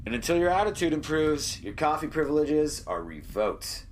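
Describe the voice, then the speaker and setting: A man playing a stern, weary, protective ship's captain in an audio drama, speaking in a firm, measured way. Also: a little high, sing-song. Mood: commanding. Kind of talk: scripted audio drama acting.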